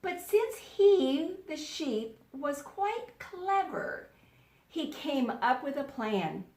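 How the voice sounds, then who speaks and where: A woman teaching young children in a read-aloud lesson, speaking deliberately and instructively, in the manner of an elementary school teacher. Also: high-pitched, sing-song, darkish tone. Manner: deliberate, instructional, repeats words for pupils to echo. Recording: roomy, echoing sound.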